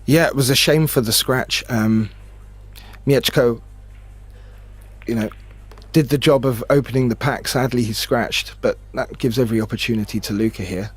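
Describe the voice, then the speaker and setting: A man giving expert pool analysis as co-commentator, thoughtful and a bit halting. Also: mid-range voice, husky. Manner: analytical, hesitant with fillers, laughs easily. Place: remote booth.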